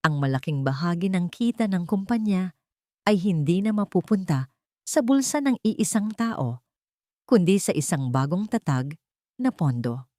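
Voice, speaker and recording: Female story narrator, Filipino accent, scripted drama, slightly low register with very varied intonation. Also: animated, measured pace, a little mellow, no echo, clean studio sound.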